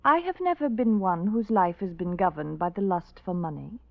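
Demure devoted wife, medium pitch, prim theatrical diction, tinny dry vintage radio recording. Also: female voice, lively intonation, moderate pace, creaky, dry recording. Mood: earnest, devoted. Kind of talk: 1950s radio drama acting. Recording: thin, phone-like old radio recording.

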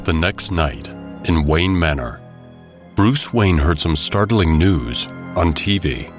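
A very low-voiced man narrates an adventure story in a dramatic, scripted style. Music plays clearly behind him. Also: expressive, slow pace.

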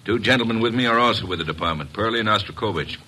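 An actor in an old radio drama playing a brusque, sarcastic police inspector. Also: male voice, on the low side, rapid-fire, slight background noise. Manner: forceful, sarcastic.

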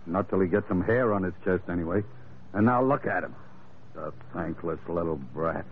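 Casting: male actor as gruff, informal shipmate, lower voice, radio-play comic grumbler, faint hiss, thin sound. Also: moderate pace, lightly acted. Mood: grumbling. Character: gruff, wisecracking male sailor sidekick. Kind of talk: 1940s-50s radio drama acting. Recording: tinny old radio recording, faint hiss.

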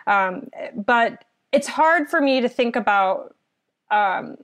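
A woman's voice: a little high, lively intonation, takes it slowly, fairly bright tone. A young woman from southeastern Virginia recommending a book in a casual chat, earnest and thoughtful, rambling a little.

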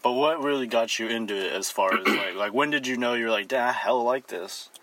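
A man's voice: mid-range voice, quick pace, a bit bright, slight background noise. Easygoing young male co-host asking questions. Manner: easygoing, probing, slangy questions. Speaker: podcast co-host. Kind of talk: casual podcast interview.

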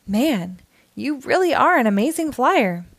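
A woman puts on a cocky male monster machine rival for a kids' story. He is brash and taunting, with a raised pitch and a dry sound. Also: sing-song, measured pace, no echo, exaggerated voice. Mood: grudging admiration.

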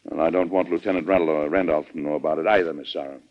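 An actor playing a resolute middle-aged American president with an emphatic, authoritative delivery in an old-time radio drama. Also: male voice, very deep voice, fairly even intonation, racing. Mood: composed, considerate. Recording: dull, lo-fi vintage radio recording.

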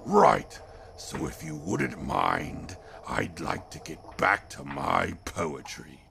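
Dog-boy henchman, gravelly fry, wildly swooping pitch, pompous poet, indignant and eager, fantasy comedy audio play. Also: sing-song, slow, pushed and bright, a little hiss or hum, exaggerated voice. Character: excitable talking dog-boy minion, an aspiring bad poet. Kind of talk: comic fantasy audio drama.

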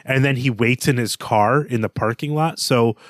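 A man talks through literature as a podcast co-host, in a mid-pitched voice with a very dry, close sound. He is analytical and conversational, often letting a chuckle into his sentences. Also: moderate pace, no room sound. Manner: analytical, chuckles while talking.

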